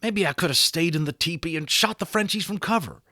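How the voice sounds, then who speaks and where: A man voicing a rough, excitable grown cowboy who talks in folksy backcountry grammar. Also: on the high side, brisk, dry recording, studio quality, pitched above the performer's own voice. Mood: fervent.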